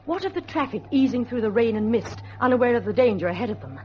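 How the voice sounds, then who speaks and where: A woman's voice: a little high, expressive, quick pace, natural voice. A woman playing an anxious, determined young niece in a suspenseful radio drama, speaking and narrating in an earnest, literary way. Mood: tense, uneasy. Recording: muffled old radio recording, faint noise.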